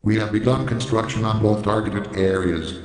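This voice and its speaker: A man's voice: fairly low pitch, steady tone, normal speed, darkish tone, heavy reverb, big-room sound, faint music behind, close to an everyday voice, rough recording. A man playing a formal, deferential military lieutenant reporting to a superior in an audio drama. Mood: businesslike.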